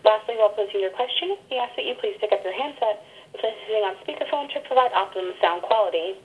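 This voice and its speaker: A woman's voice: mid-range voice, expressive, fast. Formal female operator on tinny phone line. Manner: formal, polite. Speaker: conference call operator. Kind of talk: scripted call instructions.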